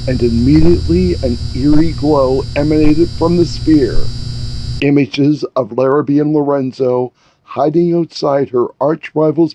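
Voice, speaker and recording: Slow, mellow male storyteller. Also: medium pitch, slight background noise, low-quality recording. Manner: measured storytelling. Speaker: author and narrator. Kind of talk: scripted fairy tale narration.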